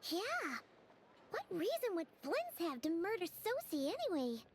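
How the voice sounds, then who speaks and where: A woman voicing a small, childlike female game companion, squeaky, earnest and inquisitive, full of exclamations and questions. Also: huge pitch swings, unhurried, a little hiss or hum, exaggerated voice. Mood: eager, puzzled. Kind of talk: video game voice acting.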